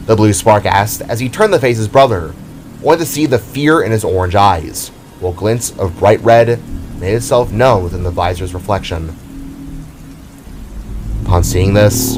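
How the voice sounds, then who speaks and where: A man giving dramatic, theatrical narration of a scripted fantasy story. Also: low-pitched.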